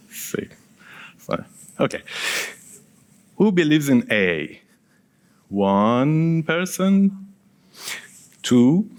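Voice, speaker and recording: A man whose first language is Persian, giving a conference talk in a casual, engaging way and polling the audience with questions. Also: medium pitch. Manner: engaging, quizzes the audience and counts hands; casual, with small laughs and asides. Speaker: conference speaker. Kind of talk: conference presentation.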